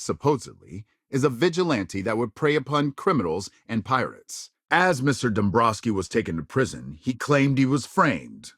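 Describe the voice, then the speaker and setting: An actor playing a polished news anchor in a spacefaring future video game, with measured, emphatic, dramatic newsreader phrasing. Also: male voice, mid-range voice, dry recording, clean studio sound. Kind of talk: scripted broadcast news delivery.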